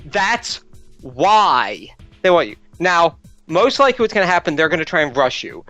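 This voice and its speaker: A man's voice: a little high, very animated, moderate pace, sharp tone, no room sound, highs cut off, faint music behind. A man playing a tabletop game, arguing casually in an emphatic, exasperated, lecturing way. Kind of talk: casual argumentative game banter.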